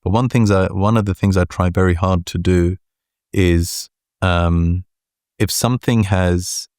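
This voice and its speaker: A man with a deep voice answering interview questions as a guest, measured and deliberate, often checking in with "right?". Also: fairly even intonation, a little mellow, no room sound, studio quality. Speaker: venture capital partner, guest.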